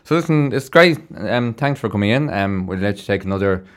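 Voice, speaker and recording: A man's voice: fairly low pitch, expressive, brisk. A man hosting a radio show from a studio in a casual, chatty and informal style. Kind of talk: casual live radio presenting. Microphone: close studio microphone.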